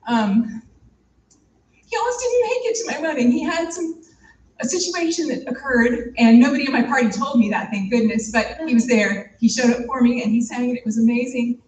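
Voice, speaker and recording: A woman's voice: a little high, moderate pace, darkish tone, far from the mic, slight background noise. Woman reminiscing on an echoey stage. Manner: storytelling, candid, with fillers. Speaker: eulogy speaker. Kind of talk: personal spoken tribute. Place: theater stage.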